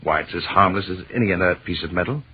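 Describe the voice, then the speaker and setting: Deep-voiced, brusque professor. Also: male voice, fast talker, slightly rough. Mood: dismissive. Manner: emphatic, impatient. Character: brusque, dismissive, excitable male scientist professor and husband. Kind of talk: 1940s radio acting. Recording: tinny, hissy old radio recording.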